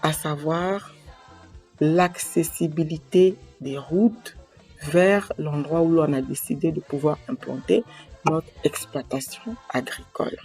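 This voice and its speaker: A woman from Congo-Brazzaville, talking to camera in a slow, earnest way to explain her advice and quoting proverbs. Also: low-pitched, mellow, slightly rough, soft background music. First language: a Congolese language. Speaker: association president giving advice.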